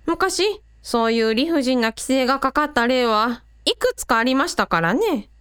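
A woman's voice: high-pitched, very animated, moderate pace, a bit bright, clean tone, dry recording, studio quality. A woman playing a sensible, caring, shrewd mother in a radio drama. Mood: thoughtful. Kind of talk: Japanese radio drama acting.

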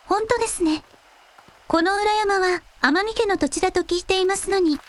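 A synthetic woman's voice playing a polite, gentle young shrine maiden in a radio drama. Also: very high voice, normal speed, slight background noise. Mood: polite, calm. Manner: polite, formal.

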